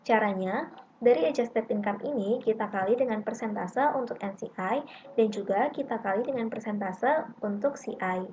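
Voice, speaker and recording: A woman's voice: mid-range voice, a little mellow. A young woman narrating a scripted lesson in a methodical, instructional way. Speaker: accounting lab tutor. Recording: dull lo-fi mic with clear background noise.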